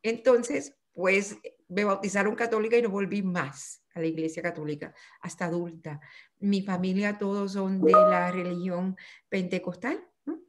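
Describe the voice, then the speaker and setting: An older woman with a Puerto Rican Spanish accent talks about her life in a remote interview. Her delivery is reflective and unhurried, and she often restarts her sentences. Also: mid-range voice, fairly even intonation. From Puerto Rico.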